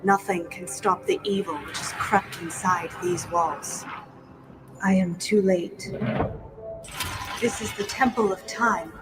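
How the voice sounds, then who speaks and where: An actress playing a solemn, mysterious prophetess in a dramatic fantasy film trailer. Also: female voice, on the low side, steady tone, slow pace, long pauses, rough recording. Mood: solemn, foreboding. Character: solemn mysterious woman prophesying doom to a hero. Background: music.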